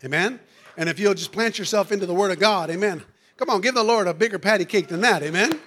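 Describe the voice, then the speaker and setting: A preacher man with a notably high voice delivers a fervent church sermon. His voice is going hoarse, and he peppers his lines with "amen" and "hallelujah" while calling out to the congregation. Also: fast talker, fairly bright tone, slight background noise. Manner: calls out to the congregation. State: losing his voice. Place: church sanctuary.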